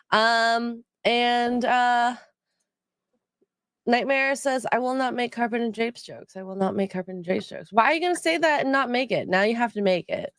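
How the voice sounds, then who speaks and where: A woman's voice: a little high, no room sound, clean studio sound. A woman hosting a casual livestream chat, sounding nasal from a cold, rambling with many ums as she reads out donations. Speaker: stream co-host.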